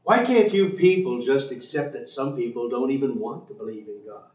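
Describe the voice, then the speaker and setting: An actor playing a despairing, sceptical professor in a staged reading, answering tersely and drily in educated diction. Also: male voice, mid-range voice, lively intonation, fairly quick, darkish tone, lightly acted. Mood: bitter, argumentative. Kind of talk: staged play reading, dialogue. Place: theatre stage. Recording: echoey stage, distant microphone.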